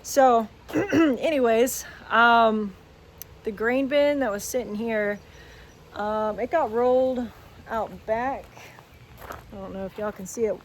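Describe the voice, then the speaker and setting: A woman's voice: fairly high pitch, slow pace, pauses often. A young woman with a drawl talks through her farm chores in a plain, chatty way, full of little fillers. Her voice is slightly worn from a morning of talking. She records outdoors on a handheld phone, with a faint wash of outdoor noise behind her. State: voice strained from talking. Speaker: farm vlogger. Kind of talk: casual farm diary vlog. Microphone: phone held while walking.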